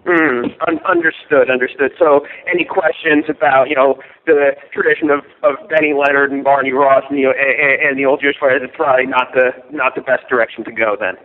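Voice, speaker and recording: A male reporter asking questions in a hesitant, halting way over the phone on a conference call. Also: medium pitch, fairly even intonation. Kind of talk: press questions. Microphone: telephone on conference call. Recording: tinny band-limited phone line.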